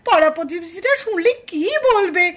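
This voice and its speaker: Puppet cartoon mother-in-law, played by a woman: older, fretful peacemaker, very high and quick. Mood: fretful pleading. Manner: fretful, pleading, lamenting. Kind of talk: puppet cartoon family drama acting.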